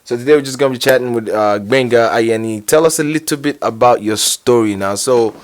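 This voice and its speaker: A man's voice: mid-range voice, fairly quick. A man hosting a radio interview in a studio, asking long, rambling, eager questions. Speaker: host. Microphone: studio mic.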